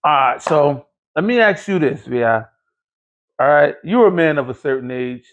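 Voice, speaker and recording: A man's voice: fairly high pitch, animated, moderate pace, dull audio. A man in his late forties hosting a podcast, telling a long, rambling, profane story in casual chat. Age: 40s. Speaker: podcast host.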